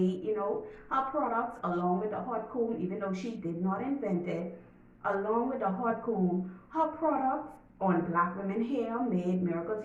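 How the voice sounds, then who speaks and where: A young woman with a Bahamian accent talks to her viewers in a chatty, emphatic way, stretching out her asides. Her voice sits at an ordinary middle pitch. It sounds strongly echoey and slightly dull, recorded from a distance. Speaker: YouTuber. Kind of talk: casual vlog talk, partly reading notes.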